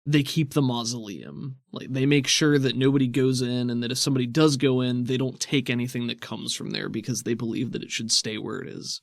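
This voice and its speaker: A man acts as game master for a tabletop game. His voice sits at an ordinary middle pitch. He describes scenes in a conversational way, and the sound is dry and close. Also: fairly quick, vocal fry, hardly any pauses, dry recording. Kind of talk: narrating a tabletop game, casual chat.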